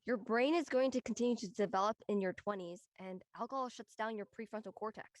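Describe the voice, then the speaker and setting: A woman's voice: mid-range voice, fast, no echo, lightly acted. A woman playing an earnest, advising character in a scripted family drama reading.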